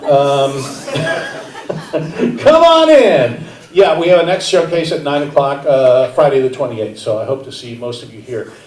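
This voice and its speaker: A man hosting a live stand-up comedy show, introducing comics with wry, deadpan jokes from the stage. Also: medium pitch, unhurried. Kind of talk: stand-up emcee set. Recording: roomy live theater, mic at a distance, crowd. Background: audience laughter.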